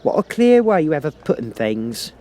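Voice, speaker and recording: Man putting on a high, gushing, pompous old Duchess. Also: big pitch swings, dark tone. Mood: pompous, pleased. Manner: cloying, pompous, drawn-out exclamations. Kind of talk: audiobook character voice.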